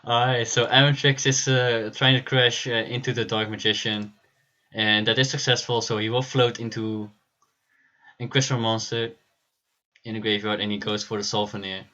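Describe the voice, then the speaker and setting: A man hosting a livestream and commentating a card game match, relaxed and laughing easily, with frequent pauses. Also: on the low side, lo-fi sound. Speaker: stream host and caster.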